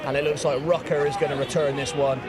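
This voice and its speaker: A man giving lively, joking play-by-play commentary on a live football game outdoors. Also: fairly high pitch, fast talker, over a noisy crowd. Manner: lively, jokey play-by-play, laughs easily.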